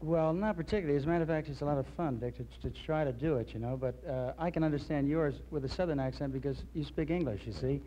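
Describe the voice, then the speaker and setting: A man in his thirties, an actor guest on a 1960s TV interview in a studio, answering in a relaxed, easygoing way with many fillers. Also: a little high, quick pace, hardly any pauses. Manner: relaxed, easygoing, many ums and you-knows. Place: TV studio with curtain backdrop. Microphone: host's handheld mic. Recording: lo-fi 1960s TV broadcast with light hiss.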